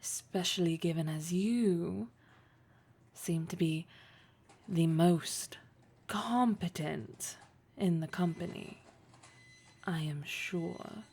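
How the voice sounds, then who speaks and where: A woman playing a poised, teasing, wealthy female boss in an intimate, breathy audio roleplay, speaking with deliberate, flirtatious formality. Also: lowish voice, darkish tone, exaggerated voice. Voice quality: breathy, husky.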